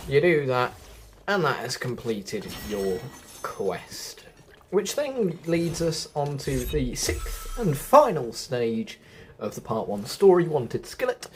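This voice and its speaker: A man's voice: mid-range voice, slight background noise. A man giving chatty game quest walkthrough commentary as a host, often correcting himself as he goes. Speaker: gaming video host.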